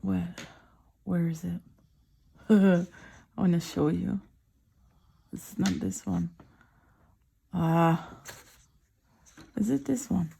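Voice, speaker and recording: A middle-aged woman with a French accent, speaking French as her first language, chats softly, often in a whisper, while showing her sketchbook drawings at home. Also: lowish voice, very slow, gravelly, long pauses, slightly rough. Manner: soft-spoken, often whispering. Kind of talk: casual chat.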